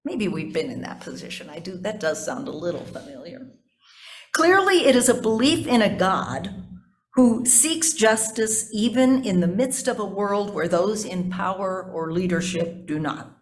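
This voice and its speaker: A woman's voice: mid-range voice, expressive, measured pace. A woman pastor preaches a sermon with a mid-range voice. She explains scripture and puts emphatic stress on key words.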